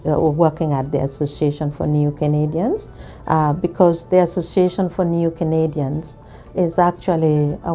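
A middle-aged woman from Uganda, an English teacher, speaking to camera in a measured, thoughtful way with many pauses and restarts. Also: low-pitched, dark tone. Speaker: ESL teacher. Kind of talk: interview to camera. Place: indoor studio, plain grey backdrop.

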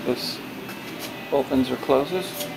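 Gravelly man touring a booth. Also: mid-range voice. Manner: plain, unhurried sales description with many pauses and fillers. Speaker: used trade show booth seller. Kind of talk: walkthrough tour. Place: large warehouse, indoors. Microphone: handheld camera held by the speaker. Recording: noisy warehouse camera audio.

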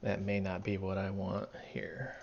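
A man with a voice going raspy, walking through code in a casual tutorial, thinking aloud and correcting himself as he goes. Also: low-pitched, completely flat delivery, a little slow, darkish tone, slight background noise. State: losing his voice, raspy. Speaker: programming tutorial instructor. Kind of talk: casual screen-recorded coding walkthrough. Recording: dull lo-fi mic, keyboard typing behind. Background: keyboard typing and clicking.

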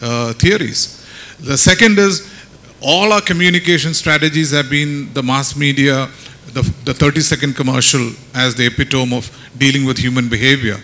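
Middle-aged man, medium-pitched panelist. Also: pushed and bright. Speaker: panelist, consultant. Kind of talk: panel discussion remarks. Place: conference stage indoors. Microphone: handheld microphone.

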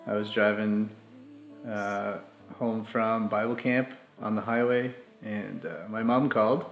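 A man in his thirties telling a personal story in a steady, flat way with fillers, in a living room. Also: lowish voice, unhurried, echoey room. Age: 30s. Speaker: grieving brother. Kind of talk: personal testimony.

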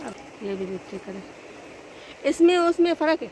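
A woman's voice: sits high, animated, moderate pace. A woman from Pakistan vlogging as she walks through a market shop, upbeat and repeating prices for her viewers. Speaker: shopping vlogger. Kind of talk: casual walkthrough vlog. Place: crowded indoor market shop. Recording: loud market noise.

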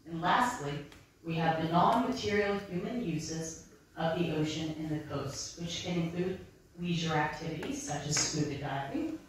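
A woman's voice: deep voice, normal speed, a little hiss or hum. A woman in her thirties, a maritime archaeologist, giving a friendly, chatty public talk into a handheld microphone in a library. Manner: friendly, chatty presenter addressing the audience directly. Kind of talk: public lecture with slides. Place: library room with bookshelves, indoors. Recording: very echoey room, voice from a distance.

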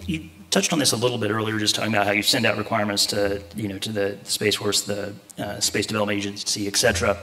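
Conference moderator, male, 30s: brisk, casual questions at a podium mic, slightly low pitch. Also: fairly even intonation, pushed and bright. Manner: quick, informal questioning. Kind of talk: on-stage interview questions. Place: conference stage.

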